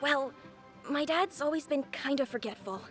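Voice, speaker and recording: A woman's voice: high voice, normal speed, a bit bright, soft background music. A woman voicing a young boy in an anime game, earnest, worried and polite, with a boyish voice. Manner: earnest, pleading.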